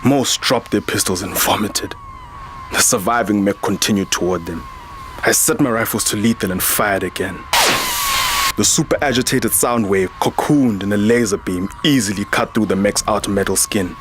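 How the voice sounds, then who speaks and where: A man's voice: fairly low pitch, moderate pace, background noise, lightly acted. A man playing a jaded, vain warrior and security chief, narrating a science fiction audio drama with sardonic weariness. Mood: grim. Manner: sardonic, world-weary. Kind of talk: first-person scripted sci-fi narration.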